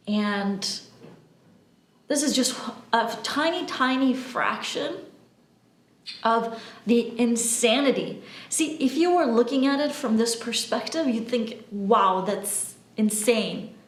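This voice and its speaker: Woman speaking emphatically in an echoey room. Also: a little high. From Albania. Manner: emphatic, deliberate, with pauses and repeated words. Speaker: spiritual channeler and video host. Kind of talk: spiritual monologue to camera. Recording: roomy, echoing room sound.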